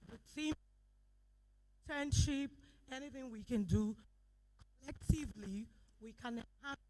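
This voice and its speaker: Slow, halting woman presenting. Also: a little high, dark tone, low-quality recording. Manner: halting, with frequent pauses and restarts. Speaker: company representative presenting. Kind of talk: event presentation.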